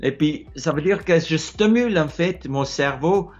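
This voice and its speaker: Middle-aged man, Afrikaans accent, unhurried and slightly raised pitch, hesitant non-native phrasing; lo-fi phone recording. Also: faint music behind. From South Africa. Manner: hesitant, repeats words, searching for words. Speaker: explorer sharing advice. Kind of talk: casual advice monologue. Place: wood-ceilinged room indoors. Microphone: phone held close, low angle.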